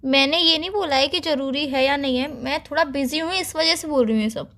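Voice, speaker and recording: A woman's voice: sits high, a little fast, a bit bright. A young woman playing a busy, impatient girlfriend in an everyday phone-call drama, defensive and insistent. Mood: defensive, annoyed. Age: young adult.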